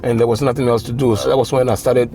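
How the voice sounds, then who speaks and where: A man's voice: medium pitch, fast talker. A middle-aged man from Ghana, a taxi driver, telling stories casually in his cab, loose and slangy. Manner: relaxed storytelling with slang. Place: inside a taxi.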